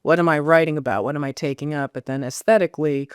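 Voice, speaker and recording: A middle-aged American woman speaks in a smooth, clear voice, close and dry. She thinks aloud in a reflective interview style, often circling back and questioning herself. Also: low voice, steady tone, fast talker, no room sound. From United States. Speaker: novelist, podcast guest.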